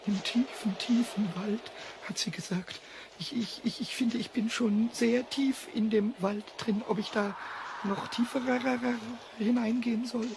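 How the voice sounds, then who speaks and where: A performer voicing an excitable, childlike little male kobold in a children's radio play, squeaky and scratchy, stammering and repeating words. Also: a little slow, vocal fry. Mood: frightened. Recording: noisy old cassette transfer.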